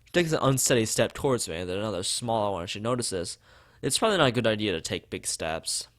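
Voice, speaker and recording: Low-voiced man reading a game aloud. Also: sing-song, fast, a bit bright. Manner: stumbles over the text and rereads words. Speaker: let's play host reading a visual novel aloud. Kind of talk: read-aloud narration with side comments.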